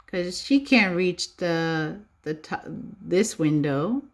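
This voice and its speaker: Female preschool teacher for storytime read-aloud: unhurried, highly expressive, questioning and instructive. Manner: expressive, slow and instructive, often checking with rhetorical questions. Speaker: early-years classroom teacher reading a picture book aloud. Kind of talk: animated read-aloud for young children, with questions and asides.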